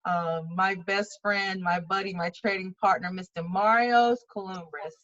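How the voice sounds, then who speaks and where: A woman's voice: mid-range voice, steady tone, a little slow, a bit bright. Enthusiastic middle-aged woman, muffled audio. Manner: enthusiastic and playful. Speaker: host. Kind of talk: introducing a guest.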